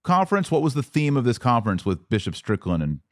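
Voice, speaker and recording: A man's voice: medium pitch, sing-song, quick pace, no echo, studio quality. A man hosting a podcast, asking inquisitive questions and reacting briefly in casual talk. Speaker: podcast host.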